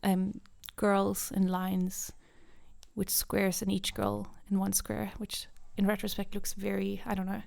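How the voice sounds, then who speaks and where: A woman's voice: mid-range voice, monotone, a little slow, vocal fry, darkish tone. A young woman from Norway, a native Norwegian speaker, talking casually as an artist being interviewed. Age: young adult. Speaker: podcast guest, visual artist.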